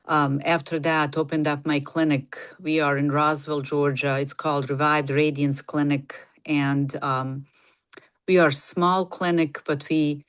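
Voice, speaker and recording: A woman's voice: sits low, clear, resonant tone. A middle-aged woman from Bosnia, a native Bosnian speaker, talking casually as an interview guest. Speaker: podcast guest, nurse practitioner and clinic founder.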